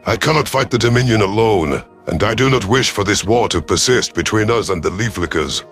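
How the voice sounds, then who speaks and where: A man's voice: on the low side, expressive, a little fast, a bit bright, soft background music. A man voicing a stiff, formal grey alien. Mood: earnest. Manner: stiff formal speech without contractions.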